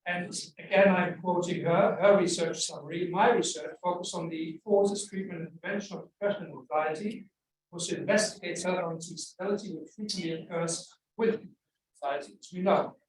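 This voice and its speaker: Man running a lecture session, pretty high voice, echoey hall, a bit far from the mic. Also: fairly even intonation, brisk, slight background noise. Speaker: chair of the session. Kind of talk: introducing speakers.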